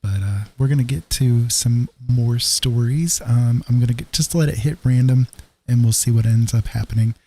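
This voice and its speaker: A man hosting a livestream, chatting casually in a halting, low-key, easygoing way. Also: dark tone. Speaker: livestream host. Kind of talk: casual rambling livestream chat.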